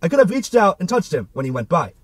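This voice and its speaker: A man's voice: high-pitched, big pitch swings, fast, background noise. A man narrating a literary novel as an amateur audiobook reader, with a theatrical delivery.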